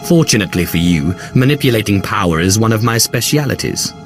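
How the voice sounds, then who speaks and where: An actor playing a haughty, self-assured dark lord in a video game, composed and dry. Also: male voice, on the low side, expressive, a little fast, soft background music. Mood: smug, composed. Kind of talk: video game cutscene acting.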